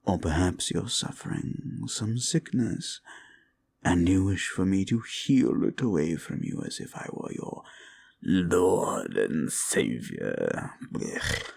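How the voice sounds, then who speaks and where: A man playing a sly, mocking monster demon in an audio roleplay, with a theatrical, drawn-out, taunting delivery. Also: sits low, gravelly, a little mellow, breathy edge, heavily acted. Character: sly, mocking classic monster demon, male, summoned to grant wishes. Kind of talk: scripted audio roleplay, ASMR.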